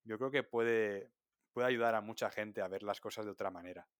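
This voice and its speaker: A man from Spain with a Spanish accent hosting an interview podcast, calmly affirming and thanking his guest. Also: lowish voice, moderate pace, clear, resonant tone, no room sound. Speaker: podcast host. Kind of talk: interview host.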